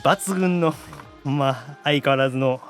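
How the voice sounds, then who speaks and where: A man with a Kansai Japanese accent hosting a podcast, bantering playfully with animated comic retorts between segment announcements. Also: mid-range voice, a little slow, faint music behind. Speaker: podcast host, voice actor.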